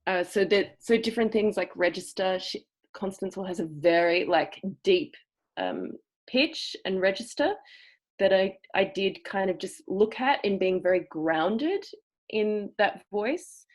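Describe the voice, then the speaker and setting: A woman's voice: medium pitch, sing-song. Woman with a cultivated Australian accent. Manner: thoughtful, searching for words, with pauses. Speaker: acting teacher. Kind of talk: explanatory seminar talk. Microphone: video call.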